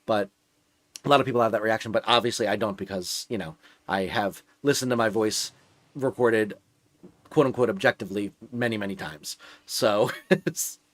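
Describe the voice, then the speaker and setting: A man's voice: fairly low pitch, moderate pace, no room sound. Rambling, slightly nasal young man. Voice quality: a bit nasal. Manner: rambling, many "like" fillers and restarts. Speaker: live streamer. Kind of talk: casual live-stream chat.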